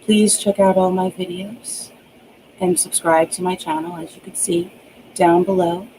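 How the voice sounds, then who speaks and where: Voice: medium pitch, flat delivery, measured pace, noisy background. An author reading their own novel aloud as scripted audiobook narration.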